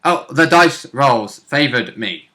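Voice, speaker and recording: A man's voice: mid-range voice, expressive, slow, bright, forceful tone. A man chatting casually as a tabletop game player, blunt and joking, with some swearing. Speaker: tabletop role-playing player. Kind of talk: casual chat.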